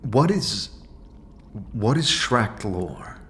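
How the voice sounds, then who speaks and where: Halting, curious male wanderer, acted by a man. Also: medium pitch, sing-song, fairly bright tone, a little hiss or hum, exaggerated voice. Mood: tentative. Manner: halting, tentative, apologetic. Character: hesitant, curious male wanderer seeking knowledge. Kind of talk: fantasy audio drama acting.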